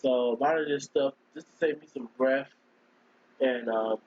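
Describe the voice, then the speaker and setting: A man with a cold, hoarse and scratchy, chatting casually on a live stream and rambling as he keeps clearing his throat. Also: medium pitch, moderate pace, darkish tone, lo-fi sound. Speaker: gaming streamer.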